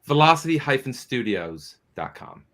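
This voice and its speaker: A man giving earnest interview answers as a guest producer, explaining his points in a measured, halting way. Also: mid-range voice, big pitch swings, fairly bright tone.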